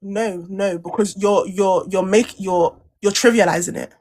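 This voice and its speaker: A young woman argues hard in a podcast debate, pitched in the middle of the range. She circles back to the same points and will not be cut off. Her tone is insistent and steady. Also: measured pace. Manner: insistent, repeats points, talks over interruptions. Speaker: podcast co-host. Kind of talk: heated debate.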